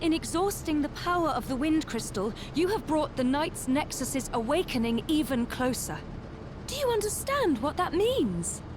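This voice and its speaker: A woman's voice: high-pitched, very animated, moderate pace, background noise. A woman playing a dignified, righteous young princess in a video game, speaking formally and earnestly. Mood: indignant. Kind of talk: video game cutscene voice acting. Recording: loud orchestral music behind. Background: dramatic game music.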